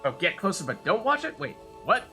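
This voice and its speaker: Bright-voiced man, chatty game streamer. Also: high voice, very animated, a little fast, vocal fry, faint music behind. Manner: chatty, thinking aloud, musing. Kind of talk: casual live let's play commentary.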